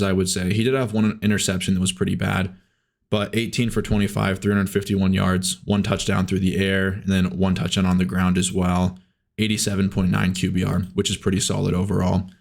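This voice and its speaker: Man, sore throat, gravelly, low voice, casual sports talk, stat-heavy. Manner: relaxed, stat-heavy recap with fillers. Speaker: co-host.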